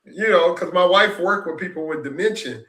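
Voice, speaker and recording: A man's voice: high voice, a little fast, fairly bright tone. Upbeat man in his sixties, echoey. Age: 60s. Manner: rambling, repeats himself, upbeat. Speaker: host. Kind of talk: casual reflective chat. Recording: echoey room.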